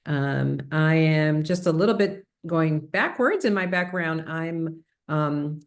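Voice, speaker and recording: Middle-aged woman, low voice, light New York-area accent; reflective interview guest, pauses and restarts. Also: animated. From Puerto Rico, raised New Jersey and New York. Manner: thoughtful, many fillers and restarts. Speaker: psychiatrist guest. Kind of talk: conversational interview.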